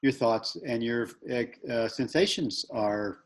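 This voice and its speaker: A man with a mid-pitched voice hosts a spiritual book discussion. He speaks conversationally and haltingly, often repeating a word or two while he looks for the right phrase. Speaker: book club host.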